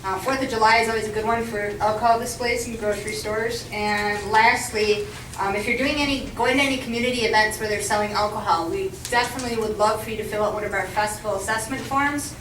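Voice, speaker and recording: A woman's voice: medium pitch, fairly even intonation, fast. A woman giving informal announcements at a community meeting, friendly, with frequent ums. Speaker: meeting coordinator. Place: meeting room. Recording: roomy, distant, noisy room recording.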